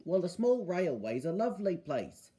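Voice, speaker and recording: An earnest, polite storybook engine, acted out by a man. The voice sits high and soft-edged, with a questioning, mildly exasperated manner. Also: big pitch swings, normal speed. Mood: friendly.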